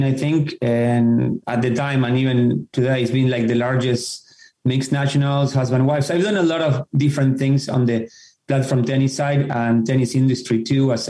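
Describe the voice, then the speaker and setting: Mellow man in a roomy echo. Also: medium pitch. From Argentina. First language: Spanish. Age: middle-aged. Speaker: podcast guest, tennis professional. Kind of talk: casual explanatory interview talk. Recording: roomy, echoing sound.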